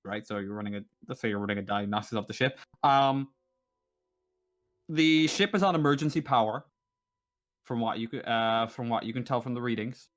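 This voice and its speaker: A man acting as game master, improvising narration and table talk in a halting way, repeating words as he thinks aloud. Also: medium pitch, a bit bright.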